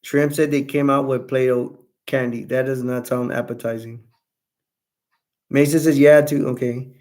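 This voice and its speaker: A man hosting a casual live stream, chatting loosely with viewers and reading their comments aloud, his voice starting to go hoarse. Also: medium pitch, level tone, moderate pace, darkish tone. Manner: rambling, self-correcting, chatty. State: voice going hoarse.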